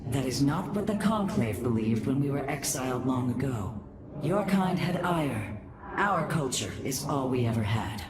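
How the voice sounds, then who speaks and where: A woman's voice: rumbling low, animated, normal speed, very noisy. A woman playing a proud, resolute alien matriarch in a science fiction game, speaking in a solemn, stately manner. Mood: proud, wounded. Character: proud, resolute alien matriarch of exiled dark warrior people. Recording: echoing voice under loud music.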